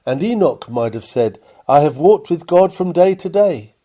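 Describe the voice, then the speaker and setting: A man reads a sermon in a somewhat high-set voice with a very mellow, relaxed tone. His delivery is formal and earnest, in the measured phrasing of old-fashioned pulpit oratory read from a script. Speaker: reader of a historical sermon.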